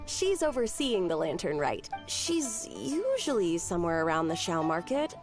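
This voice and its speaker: A lively, chatty festival-goer. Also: female voice, fairly low pitch, moderate pace, music in the background. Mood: chatty, proud. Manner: explanatory, enthusiastic. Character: chatty, proud local festival-goer in a fantasy town. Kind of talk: video game voice acting.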